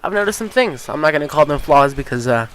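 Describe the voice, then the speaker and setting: A man's voice: fairly high pitch, fast, a little hiss or hum. Sniffly man rambling about a game. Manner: rambling, with many ums and uhs, and swears freely; gets excited and incredulous about game balance. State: stuffy, sniffly nose. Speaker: gaming YouTuber. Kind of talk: casual gameplay commentary.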